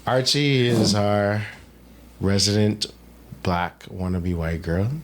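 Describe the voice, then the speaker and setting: A man with a Bahamian accent hosting a podcast in casual, teasing, animated group banter. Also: lowish voice, slow pace, echoey room. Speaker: podcast host.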